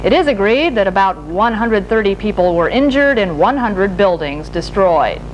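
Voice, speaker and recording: An American woman reading scripted television news as an anchor in a formal, measured delivery. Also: medium pitch, lively intonation. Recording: noisy archival 1970s broadcast tape, humming.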